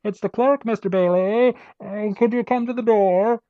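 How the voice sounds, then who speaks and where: Man putting on a high, frightened motel clerk. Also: normal speed, no echo, natural voice. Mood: nervous. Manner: anxious, hesitant. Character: frightened male motel clerk feigning calm. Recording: dull muffled lo-fi audio.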